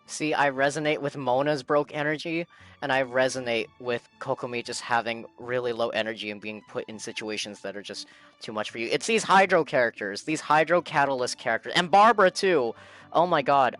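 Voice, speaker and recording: A man's voice: medium pitch, a little fast, fairly bright tone. A man live-streaming a video game, giving casual reactions and reading game text aloud. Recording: game music behind the voice.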